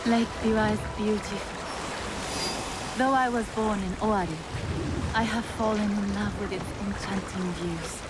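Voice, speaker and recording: An actress playing a gracious, composed Japanese noblewoman and widow in a video game, speaking formally and with measured care. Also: medium pitch, fairly even intonation, lightly acted, low-quality recording. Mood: warm, bright. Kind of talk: video game cutscene acting. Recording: loud music behind the voice. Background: music.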